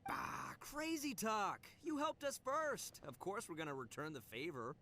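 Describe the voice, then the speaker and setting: A man voicing a brash, boisterous young male gang boss who talks loud and cocky. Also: high voice, huge pitch swings, measured pace, slight background noise, full character voice.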